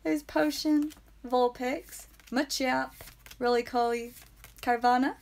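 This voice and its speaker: A woman hosting a live card pack-opening stream, chatting playfully and saying sound effects aloud, with a calming voice. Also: high voice, takes it slowly, gravelly. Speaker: trading card stream host. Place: indoor room with whiteboard.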